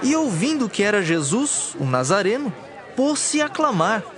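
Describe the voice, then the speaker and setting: A man's voice: high-pitched, sing-song, measured pace, a faint crowd. A man with a Brazilian accent narrating scripted, dramatized scripture. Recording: clear music bed behind the voice.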